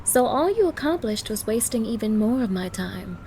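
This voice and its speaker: A woman's voice: on the high side, sing-song, normal speed, dark tone, a little hiss or hum. A woman playing a haughty, demanding young gold digger on a date, scolding and condescending in an audio roleplay. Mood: annoyed, scolding. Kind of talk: ASMR-style dramatic roleplay.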